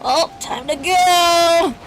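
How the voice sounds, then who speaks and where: Woman game streamer: high voice, very slow delivery, chatty and playful, faint music behind. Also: poor audio. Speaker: streamer playing a video game. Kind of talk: casual gameplay reaction commentary.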